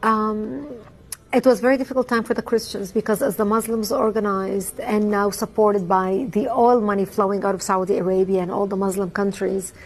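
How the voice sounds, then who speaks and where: A woman, giving a measured, earnest personal testimony with frequent ums. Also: medium pitch, steady tone, rough recording. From Lebanon. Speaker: interviewee.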